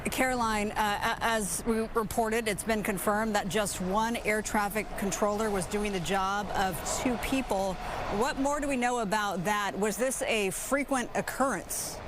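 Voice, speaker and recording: A woman's voice: medium pitch, a little slow, background noise. A woman with an American accent, working as a news anchor and reading in a scripted newscast style.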